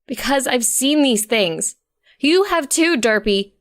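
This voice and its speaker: A woman's voice: sits high, expressive, slow, dry recording, voice pushed up. A woman voicing a stubborn, sarcastic young mare who tells her own story in an informal, conversational way. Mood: urgent, determined. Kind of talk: first-person dramatic story narration.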